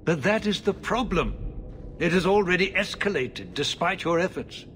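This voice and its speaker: An actor playing a world-weary middle-aged city ruler, formal and dryly sardonic, in a video game cutscene. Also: male voice, sits high, lively intonation, normal speed, a bit bright, slight background noise. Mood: anxious, grave.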